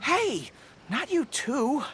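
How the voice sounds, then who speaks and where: A woman's voice: sits high, big pitch swings, extremely slow, a little hiss or hum, full character voice. A woman voicing an earnest, easily flustered young dog-eared general in a video game. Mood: exasperated. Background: music.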